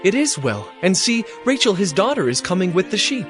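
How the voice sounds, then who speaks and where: Man playing a high-voiced, animated shepherd. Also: a little fast, soft background music. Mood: matter-of-fact. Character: plain-spoken male shepherd answering a stranger. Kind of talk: dramatized scripture acting.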